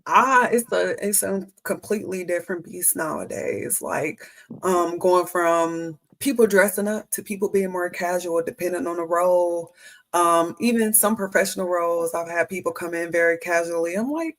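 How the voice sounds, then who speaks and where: A woman's voice: lowish voice, normal speed. A young adult woman, a guest in a casual interview, talking through her views on hiring with plenty of fillers and restarts. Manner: chatty, many fillers and restarts. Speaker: HR professional guest.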